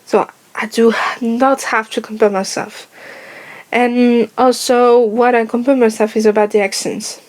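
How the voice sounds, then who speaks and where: Soft-spoken French woman, careful English. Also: a little high, little pitch movement, unhurried. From France. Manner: careful, hesitant, often searching for words. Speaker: vlogger. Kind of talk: casual personal vlog. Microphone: headphones on, speaking to camera.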